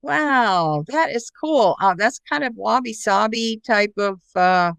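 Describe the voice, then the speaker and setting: A woman's voice: fairly high pitch, lively intonation, slow pace, gravelly, fairly bright tone, smooth, no room sound. A middle-aged woman hosting an interview in a conversational style. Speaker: interview host, author.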